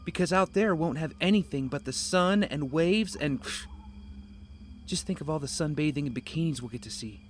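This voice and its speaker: An actor playing a starving, guilt-wracked young castaway in an audio drama, emotional and self-pitying. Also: male voice, on the high side, moderate pace, a little hiss or hum, lightly acted. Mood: guilty.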